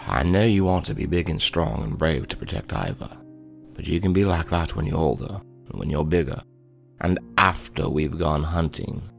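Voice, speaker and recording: A man acting a protective, gentle but firm elf father in an audio drama. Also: very deep voice, animated, normal speed, gravelly, soft background music, exaggerated voice. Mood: gently firm.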